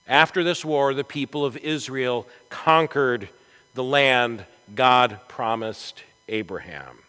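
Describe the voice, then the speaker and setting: A man preaching a storytelling sermon in a church, with a dramatic, deliberate delivery and emphatic swells. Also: medium pitch, a bit bright. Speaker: pastor preaching. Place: large church sanctuary, from the chancel. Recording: echoey church hall with long reverb.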